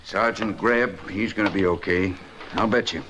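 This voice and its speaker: A man's voice: fairly low pitch, normal speed, fairly bright tone, natural voice. An actor playing a chatty, folksy, world-wise policeman in an old-time radio detective drama. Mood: easygoing, chatty. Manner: folksy, colloquial. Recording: old radio recording with faint noise.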